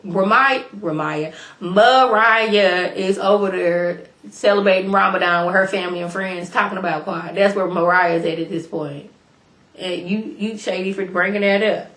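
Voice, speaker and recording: A woman casually recapping a reality show episode for her viewers in a chatty, slangy way, a little hoarse from a cold. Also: medium pitch, moderate pace. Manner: chatty, animated, slangy, addresses viewers directly. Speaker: YouTube reality TV reviewer. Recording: echoey room.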